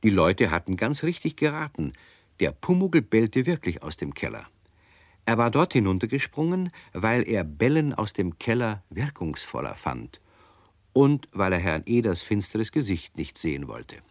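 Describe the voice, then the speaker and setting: Low-voiced, lively male storyteller. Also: normal speed, no room sound, studio quality. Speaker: narrator. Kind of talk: scripted children's story narration.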